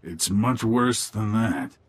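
An actor playing a shady alien gangster lieutenant in a video game, with a husky, gravelly voice. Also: male voice, on the low side, slow pace. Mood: uneasy. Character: shady alien male gangster lieutenant running a desert club. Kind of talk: video game dialogue acting.

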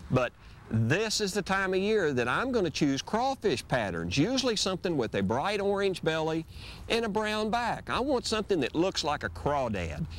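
Folksy man with a lively voice. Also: high-pitched, moderate pace, slight background noise. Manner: folksy, easygoing explaining. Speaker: fishing show host. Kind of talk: instructional fishing demonstration. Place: outdoors on a lake, on a boat and on the shore.

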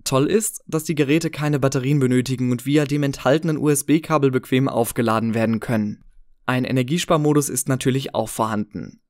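A man's voice: mid-range voice, darkish tone, no room sound, clean studio sound. A man reading a scripted voice-over for a product comparison. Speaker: product review narrator.

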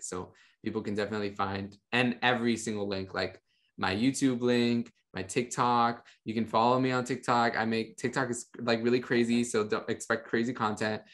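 A young man on a video call, a podcast guest talking about his ministry in a relaxed, rambling way with many fillers. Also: fairly low pitch. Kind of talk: casual interview talk. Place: home room with monitors. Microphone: studio mic on a video call.

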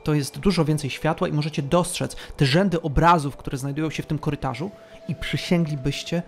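Gravelly guy running a tabletop game, kinda high voice, spooky slow narration, music under it. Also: fairly even intonation, slight background noise. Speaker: game master.

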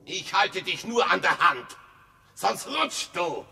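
An actor playing an insistent, mistrustful husband in a German radio play, speaking in clipped, deliberate phrases with a husky voice. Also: male voice, high voice, big pitch swings, very noisy, exaggerated voice. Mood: urgent, insistent. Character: insistent, mistrustful husband exploring underground with his wife.

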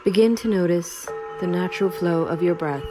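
A woman guiding a sleep meditation in a slow, soothing, unhurried way with long pauses. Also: lowish voice, over music. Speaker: meditation guide. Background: Tibetan singing bowl tones.

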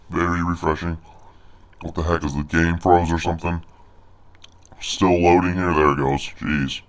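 A man doing snarky gameplay commentary as a boastful, crude monster brute. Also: very deep voice. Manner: cocky, crude trash talk. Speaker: gaming video host. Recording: home recording with noisy game sound. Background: fighting game sound effects.

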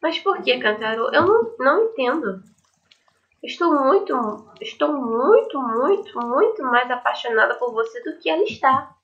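A woman's voice: sits high, lively intonation, moderate pace, a bit bright. A woman voicing an unstable, lovesick teenage schoolgirl from a visual novel, pleading and repeating herself dramatically. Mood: desperate. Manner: stammering, pleading, dramatic repetition. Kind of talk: visual novel character read-aloud. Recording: dull lo-fi mic, music behind.

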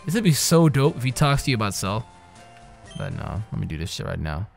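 A man's voice: lowish voice, sing-song, a little fast, creaky. Rowdy young man doing gaming commentary. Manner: loud, swearing, jokey trash talk with sudden yells. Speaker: gaming channel host. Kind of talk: casual let's play commentary. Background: game music.

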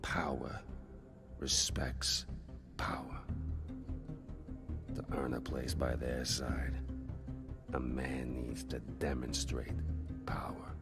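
A man playing a menacing, ambitious older crime boss in a video game, gravelly and deliberate, with theatrical pauses. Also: extremely low pitch, monotone, over loud music, full character voice. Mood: menacing, grandiose. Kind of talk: video game dramatic dialogue.

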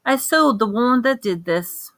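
An eccentric old man who sells wands, voiced by a woman reading a story. He drawls in a lilting way, then jabs with sudden sharp emphasis, and he probes every word with a bright, forceful tone. The sound is dry and close. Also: on the high side, expressive, unhurried, dry recording, voice pushed up. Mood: musing. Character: eccentric old male wandmaker, intense and probing.

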